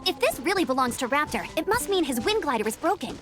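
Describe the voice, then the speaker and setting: A woman playing an earnest, upbeat young knight scout in a video game, brisk and determined in manner. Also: high voice, big pitch swings, music in the background. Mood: focused, explaining.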